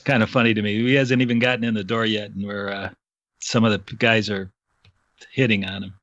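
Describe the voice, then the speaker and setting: A man's voice: on the low side, little pitch movement, fairly quick, fairly bright tone, no room sound. An American man casually discussing news as a podcast panelist, over a dull, limited-sounding connection.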